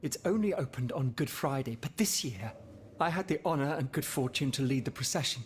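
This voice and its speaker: A man playing an earnest, conspiratorial abbot in a video game, speaking gravely and formally. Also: medium pitch, fairly quick, natural voice. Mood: grave, urgent. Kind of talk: video game cutscene acting. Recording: faint background noise.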